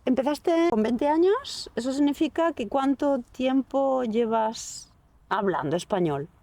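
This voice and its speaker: A woman hosts a relaxed interview, asking brief follow-up questions and nudging her guest on. Her voice sits a little higher than average. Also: unhurried, a little hiss or hum. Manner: encouraging, asks short follow-up questions. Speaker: host. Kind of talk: casual interview.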